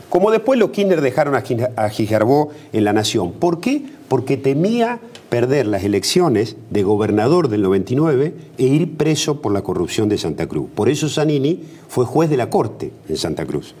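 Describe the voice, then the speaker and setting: Emphatic Argentine man, lively husky voice. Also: medium pitch. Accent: Argentine Spanish. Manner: emphatic, rhetorical questions, frequent restarts and fillers. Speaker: politician guest. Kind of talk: TV interview.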